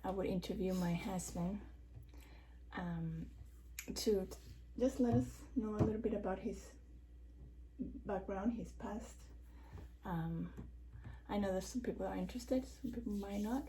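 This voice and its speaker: Woman in her 60s, mellow voice, gentle halting interviewer, faint hiss behind. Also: medium pitch, rough recording. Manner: hesitant, many ums. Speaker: interviewer, vlogger. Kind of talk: casual home interview.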